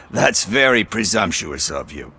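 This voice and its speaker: A scruffy, streetwise informer in a game drama. His voice sits a little low but has a bright, forward edge. He is chatty and sardonic, tossing out slang with a wry, casual manner. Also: sing-song, slow pace, slightly rough, full character voice. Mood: cajoling. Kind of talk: video game dialogue acting.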